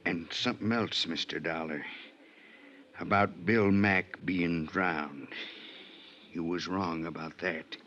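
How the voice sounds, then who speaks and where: Nervous, rough-spoken seaman, old radio. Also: deep voice, fairly even intonation, takes it slowly, pauses often, fairly bright tone. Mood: grim, secretive. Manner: rough ungrammatical working-man speech. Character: nervous, frightened uneducated seaman, wary informant. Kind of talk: old-time radio drama acting. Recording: dull vintage radio recording, faint hiss, clicks.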